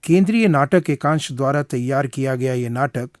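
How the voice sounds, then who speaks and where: A man reading scripted credits as a radio announcer, in a formal, measured way. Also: medium pitch, a little mellow, dry recording, clean studio sound.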